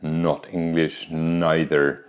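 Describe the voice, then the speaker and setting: A man in his fifties with an Austrian accent, hosting a podcast and calmly telling a candid personal story. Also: rumbling low, extremely slow, clear, resonant tone. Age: 50s. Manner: calm, reflective, candid. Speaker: podcast host.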